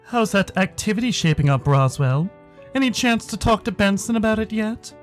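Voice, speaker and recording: Man doing a high-pitched, inquisitive furry guy. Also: animated, normal speed, faint music behind. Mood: curious.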